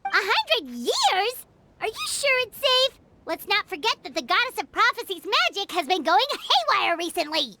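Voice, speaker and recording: A woman voicing a tiny, excitable, worrying fairy companion in a video game, with a squeaky childlike voice and expressive delivery. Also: moderate pace, very noisy, full character voice. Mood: doubtful. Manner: expressive, speaks of herself in third person. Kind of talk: video game character acting.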